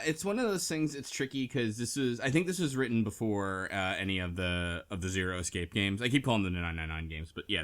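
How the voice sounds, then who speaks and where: A man with a fairly low voice hosts an informal podcast discussion. His delivery is easygoing and conversational, with some hesitation as he gathers his thoughts. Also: sharp tone. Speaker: podcast host. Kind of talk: casual book club discussion.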